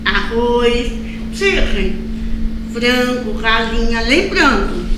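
Brief: middle-aged Brazilian schoolteacher, high and unhurried, filmed in a very echoey studio with noticeable noise. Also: female voice, animated. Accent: Brazilian Portuguese. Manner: slow, drawn-out explaining with frequent checks like "tá?" and "ok?". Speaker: school English teacher. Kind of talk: recorded lesson for young children. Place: school recording studio with a cartoon backdrop. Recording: very echoey room with clear background noise.

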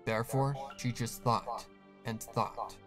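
A man delivers scripted, dramatic narration of a horror tale. His voice sits at an ordinary middle pitch, and music plays clearly behind him. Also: fairly even intonation, slow. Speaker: narrator of a horror fan fiction.

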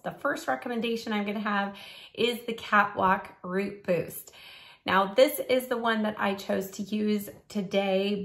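A middle-aged woman, a little raspy from a cold, chatting upbeat and instructive as she reviews hair products from her home studio. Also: medium pitch. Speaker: beauty vlogger. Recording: roomy home recording.

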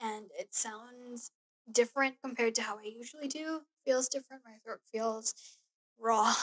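A young woman vlogging in a small bedroom into a close studio mic, talking earnestly about a show with a hoarse voice. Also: fairly high pitch, poor audio. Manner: gushing, earnest, gestures while talking. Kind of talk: casual review vlog. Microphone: studio mic on boom arm, close.

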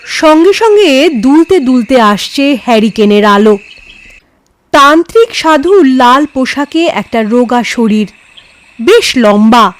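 A woman storyteller giving an expressive, dramatised reading of a scripted ghost story. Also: high voice, slow.

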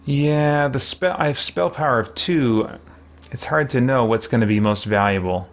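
A middle-aged man giving casual gameplay commentary, thinking aloud as he deliberates over his choices. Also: medium pitch. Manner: musing, deliberating out loud. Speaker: video game streamer. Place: indoor room with shelves.

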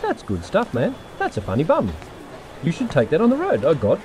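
A man's voice: a little high, wildly swooping pitch, a little fast, darkish tone, slight background noise. A man playing a smug, haughty businessman in a sci-fi audio drama. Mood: mocking, aggressive.

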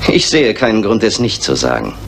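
An actor playing a vengeful, theatrical murderer in a German radio crime drama, measured, formal and menacing. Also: male voice, lowish voice, wildly swooping pitch, creaky, soft background music, exaggerated voice. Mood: cold menace. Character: vengeful, theatrical male murderer, coldly courteous. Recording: older radio play with background noise.

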